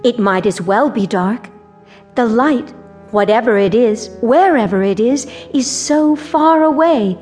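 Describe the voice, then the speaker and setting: A lost, gentle ballet dancer played by a woman in a radio play. Her voice sits a little high, wistful and resigned, with soft music underneath. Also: a little slow, natural voice. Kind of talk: radio drama acting.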